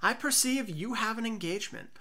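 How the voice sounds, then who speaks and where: A woman's voice: fairly high pitch, animated, a little fast, sharp tone, pitched above the performer's own voice, full character voice. A woman voicing a scheming avenger who feigns friendly concern, courteous and coaxing, in a dramatic storybook reading.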